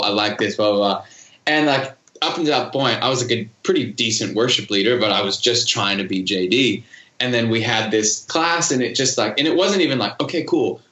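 A man's voice: fairly low pitch, sharp tone, roomy sound. A man guesting on a podcast interview, talking casually with many fillers and easy laughter about leading worship.

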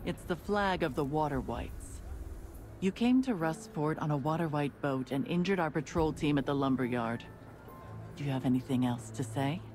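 A woman voicing a cold, ruthless young gang leader who interrogates captives in a slow, measured, commanding way. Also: low voice, a little mellow, close to an everyday voice. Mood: menacing. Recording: game voice with faint music.

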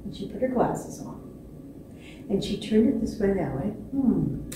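A woman in her sixties telling a children's story expressively, with dramatic pauses and repetition. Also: medium pitch, lively intonation, warm tone. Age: 60s. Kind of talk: animated children's storytelling from memory. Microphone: distant camera microphone. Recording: echoey stage, distant mic, faint noise.

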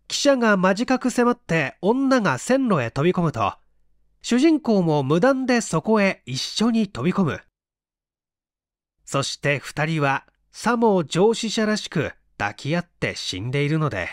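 A man's voice: high voice, very animated, measured pace, no room sound, studio quality. A man reading literary fiction aloud as a dramatic audiobook narrator. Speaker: audiobook reader, stage actor.

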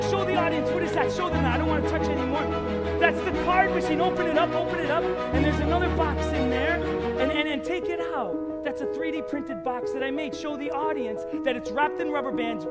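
A man performing a live family magic show, coaxing volunteers and the audience with lively energy in a large hall. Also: extremely high pitch. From New York. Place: large old church concert hall with audience. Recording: loud background music in a hall.

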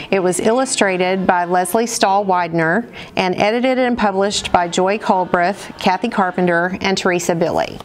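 A woman's voice: medium pitch, slight background noise. Middle-aged American woman reading a storybook aloud. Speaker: storyteller, school program director. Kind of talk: read-aloud storybook narration for children. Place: indoors, seated at table before dark curtain.

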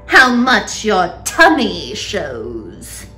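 A woman voicing a lean, spiteful, vain, bullying aunt in a storybook, screeching and sneering with theatrical drawn-out words. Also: medium pitch, animated, faint music behind, poor audio.

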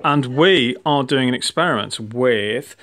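A man's voice: medium pitch, a little slow, fairly bright tone. A man giving a casual home science demonstration to children, explaining in an encouraging, playful way. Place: indoors in a home living room. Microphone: handheld phone behind the camera.

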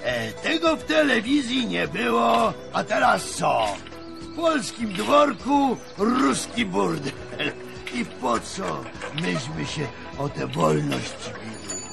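An actor playing a crusty, bawdy old country grandfather in a radio play, with a creaky voice and a folksy, scolding, cajoling manner. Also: high voice, sing-song, slow, exaggerated voice. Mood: nostalgic, bawdy. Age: older. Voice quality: gravelly, creaky. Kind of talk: radio drama acting. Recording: music playing under the voice.

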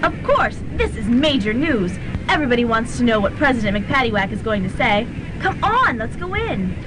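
A perky young student acted by a woman talks in a high, lively voice in a radio play. A crowd murmurs behind the noisy recording. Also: moderate pace. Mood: friendly. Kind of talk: student radio drama acting.

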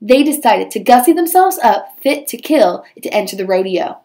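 High-voiced woman reading a storybook aloud. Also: lively intonation, moderate pace, warm tone. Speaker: teacher. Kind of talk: children's storybook read-aloud.

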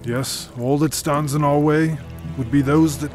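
A man's voice: a little high, steady tone, over music, lightly acted. An actor playing a deferential but ruthless 1830s English salesman and henchman in an audio drama, speaking formally and with measure. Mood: inquiring. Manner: formal, measured period diction. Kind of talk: family audio drama acting.

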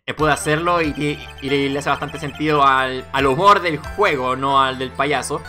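A man hosting a let's play, giving commentary on a game in a halting way. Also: mid-range voice, sharp tone, faint music behind. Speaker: gaming video host.

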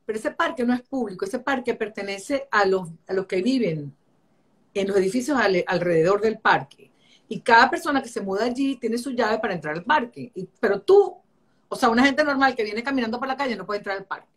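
Middle-aged Caracas-accented woman, slightly high voice, lively storyteller on a video call. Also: a little fast. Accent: Caracas Venezuelan. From Venezuela. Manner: animated, chatty storytelling with many restarts. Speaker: architect interviewee. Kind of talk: casual interview. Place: home room.

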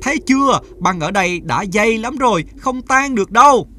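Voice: medium pitch, expressive, fairly quick, bright, forceful tone, a little hiss or hum. An actor voicing a stubborn, boastful young horse in a cartoon dub, with music under the voice. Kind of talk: cartoon dubbing.

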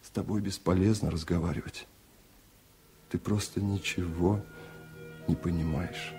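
A man's voice: low voice, steady tone, unhurried, over music, voice pushed down. A man voicing a hurt, earnest, reproachful wife in a 1970s radio literary reading. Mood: resigned. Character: hurt, reproachful wife longing for open love.

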